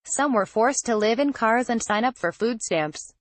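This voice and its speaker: A woman's voice: on the high side, expressive, normal speed, no echo. Dry-recorded woman reading news. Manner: reads formally, word for word. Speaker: news article narrator. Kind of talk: scripted news narration.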